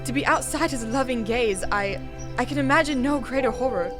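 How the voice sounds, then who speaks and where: A woman's voice: sits high, a little fast, natural voice. A woman playing an older, haughty queen mother in an audio drama, theatrical and emphatic. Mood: shaken, fearful. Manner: imperious, theatrical, emphatic repetitions. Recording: music under the voice.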